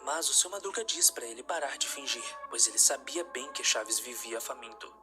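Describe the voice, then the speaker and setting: A man reading a scripted narration of a dark story. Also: mid-range voice, normal speed, music in the background.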